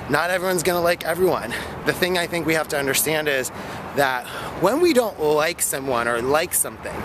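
Breathless man vlogging outdoors on a phone. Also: fairly high pitch. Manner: chatty, rambling with many fillers. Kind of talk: casual walking vlog. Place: outdoor park path. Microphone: phone held at arm's length. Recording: noisy outdoor phone recording.

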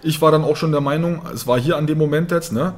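Fast-talking man chatting about charts. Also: a little high. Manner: quick, chatty, thinking aloud with many fillers. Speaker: co-host of a crypto trading show. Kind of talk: casual market analysis chat. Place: indoor room, seated at a table.

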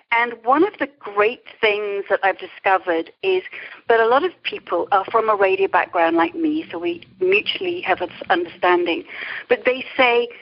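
English woman, slightly muffled recording. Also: mid-range voice. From England. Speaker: interview guest, creativity coach. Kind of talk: conversational interview answers. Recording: muffled lo-fi call audio.

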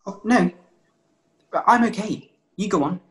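A man's voice: high-pitched, unhurried. A young man playing a guarded, blunt, awkward schoolboy in a theatrical table read. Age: young adult. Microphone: video call.

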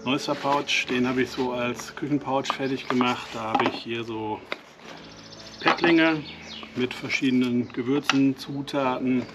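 A man's voice: mid-range voice, fairly even intonation, a little slow. A man from Westphalia with a Westphalian German accent, casually explaining an outdoor cooking demonstration with fillers and small self-corrections. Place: outdoors at a camp stove. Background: music and cooking sizzle.

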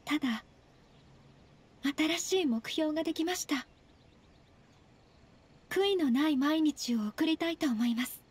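A woman voicing a polite, earnest, slightly shy young noblewoman in a Japanese anime game, speaking gently and formally. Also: high voice, unhurried, long pauses. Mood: shy, resolved. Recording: soft game music behind.

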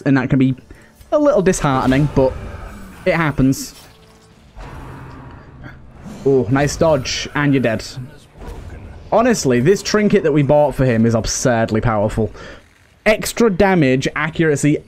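Higher-voiced man doing casual game commentary. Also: darkish tone. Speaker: gaming video host.

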